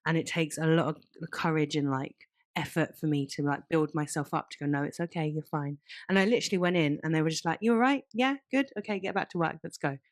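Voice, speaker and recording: A woman's voice: sits low, fast, few pauses, no room sound, studio quality. A woman co-hosting a casual podcast, talking informally and candidly with some self-deprecation. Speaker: podcast co-host.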